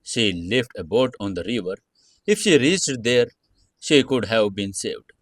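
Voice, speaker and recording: A man narrating an audio story in a dramatic scripted style, with a halting delivery that stumbles over words. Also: fairly low pitch, big pitch swings, dry recording.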